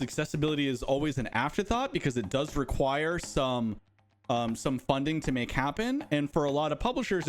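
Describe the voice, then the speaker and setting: Male game-studio streamer, casual and chatty, fairly high voice that swings widely. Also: a little hiss or hum. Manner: chatty, rambling, talks to chat. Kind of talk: casual live stream chat.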